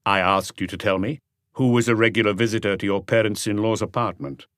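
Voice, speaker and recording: A man voicing a calm police inspector in an audiobook, questioning in a measured, quietly firm and probing way. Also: on the low side, no echo, clean studio sound, lightly acted.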